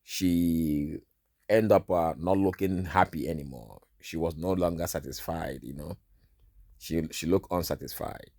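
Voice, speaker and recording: A deep-voiced Nigerian man with Igbo as his first language, preaching at an unhurried pace. He recounts a dream in an informal sermon and leans on "all right" and "you know" as he talks. The sound is dry and close. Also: mellow, dry recording.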